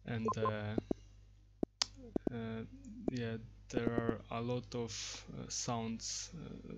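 Halting man with a creaky voice. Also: mid-range voice, heavy background noise. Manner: hesitant, halting. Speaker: app developer demonstrating software. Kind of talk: unscripted software screen demo.

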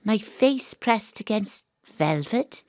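An actress playing a troubled, weary woman private detective with a dramatic, halting delivery in an audio drama. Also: medium pitch, animated, no echo, clean studio sound. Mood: tense, confused.

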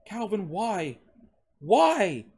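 Man voicing a high, desperate, pleading wounded young man. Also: sing-song, drawn-out words, darkish tone, breathy edge, full character voice. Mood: shocked anguish. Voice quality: strained, cracking. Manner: begging, gasping.

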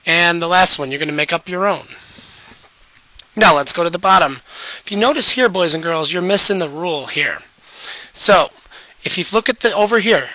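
A man's voice: sits high, sharp tone, no room sound, low-quality recording. A man teaching a math lesson over an onscreen whiteboard, losing his voice and patiently guiding students step by step.

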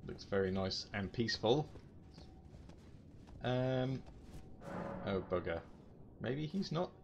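A man giving casual, excitable gameplay commentary, talking to himself as he plays. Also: mid-range voice, slow pace, gravelly, lo-fi sound, noisy background. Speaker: gaming video host. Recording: loud music behind the voice.

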